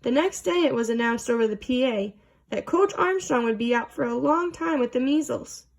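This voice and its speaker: A woman's voice: high-pitched, expressive, normal speed. A woman reading a children's storybook aloud as a narrator.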